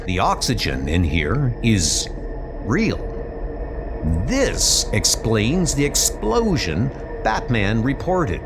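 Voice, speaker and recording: A man voicing a stern, self-assured superhero in a comic book dub, formal and measured. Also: medium pitch, full character voice.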